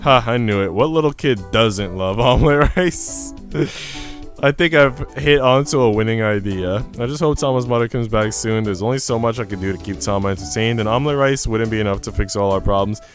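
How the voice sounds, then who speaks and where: A man's voice: fairly low pitch, a little fast. Chatty young man livestreaming over music. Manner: rambling, informal, slangy. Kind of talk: casual livestream chat while reading a visual novel aloud.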